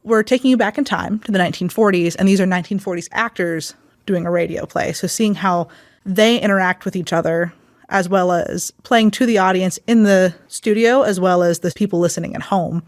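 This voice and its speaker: A woman's voice: lowish voice, a little fast, no room sound. Dry-recorded woman explaining a show. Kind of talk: explanatory interview talk.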